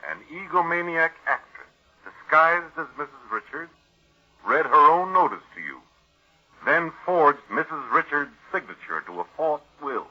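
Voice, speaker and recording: A man playing a shrewd, grave family doctor in 1940s radio drama, deliberate and probing like an accuser. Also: a little high, expressive, pushed and bright, heavily acted. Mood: accusing. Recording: muffled old radio recording.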